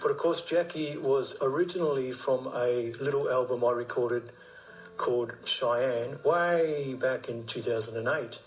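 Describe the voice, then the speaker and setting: A man's voice: mid-range voice, unhurried, poor audio. A man with an Australian accent, a musician giving a casual recorded thank-you message.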